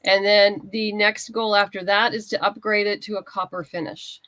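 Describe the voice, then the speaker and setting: A woman's voice: medium pitch, level tone, moderate pace, pushed and bright, no room sound. Woman guest in a dry recording. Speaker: guest writer and comics creator. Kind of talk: casual interview chat, plugging a crowdfunding campaign.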